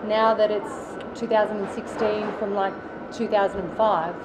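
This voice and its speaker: A woman's voice: medium pitch, fairly even intonation, normal speed. Chatty Australian woman, noisy recording. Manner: chatty, many fillers and restarts. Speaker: actress interviewee. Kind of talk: casual interview answers. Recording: loud background noise.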